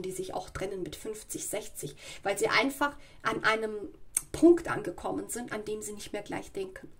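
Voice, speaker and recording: A woman with a slight cold giving a spoken tarot reading at home, explaining slowly and often checking in with "ja" and "okay". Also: fairly high pitch, fairly bright tone, husky. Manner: slow, searching and explanatory, with frequent "ja" and "okay". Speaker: tarot reader. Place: home room with a tarot table.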